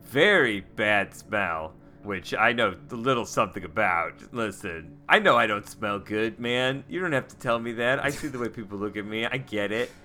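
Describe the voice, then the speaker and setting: A man's voice: on the low side, animated, moderate pace, hardly any pauses, bright, forceful tone, soft background music. A man improvising casually as a player in a tabletop game, jokey and slangy. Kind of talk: casual improvised role-play.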